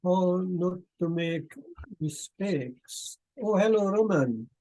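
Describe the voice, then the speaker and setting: A man hosting an online discussion, talking in a slow, thoughtful way with many pauses and fillers over a video call. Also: sits high, lively intonation, warm tone, poor audio. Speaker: host. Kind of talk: casual talk at an online meeting.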